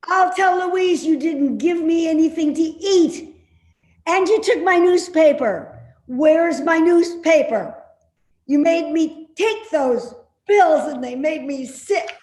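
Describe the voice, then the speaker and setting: Woman playing cantankerous old mother, high voice, slow delivery, querulous and scolding, stage play reading, roomy echo. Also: darkish tone. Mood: querulous, accusing. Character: cantankerous, complaining older mother, stubborn and suspicious.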